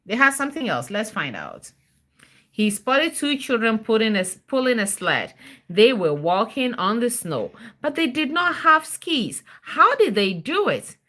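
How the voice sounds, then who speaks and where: A woman's voice: fairly high pitch, sing-song, a little slow, sharp tone. A mother reading a children's storybook aloud on a livestream, chatting with viewers and encouraging her child with prompts and questions.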